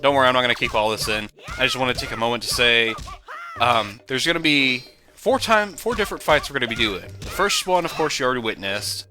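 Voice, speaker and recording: A man giving casual, jokey, rambling commentary on a video game he is playing, with sudden loud whoops. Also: mid-range voice, lively intonation, fast. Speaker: let's play commentator. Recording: game music behind voice.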